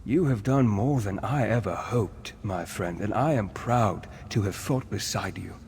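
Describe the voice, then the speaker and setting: An actor playing a noble, earnest war leader in a fantasy video game, formal and dramatic in delivery. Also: male voice, lowish voice, measured pace, a little mellow, in a large hall, slight background noise. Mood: solemn, reassuring.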